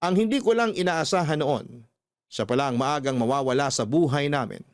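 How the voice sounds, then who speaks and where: A man narrating as a radio story host, in a scripted, sentimental style with measured phrasing. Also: a little high, no room sound, studio quality. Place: dry studio with soft music behind.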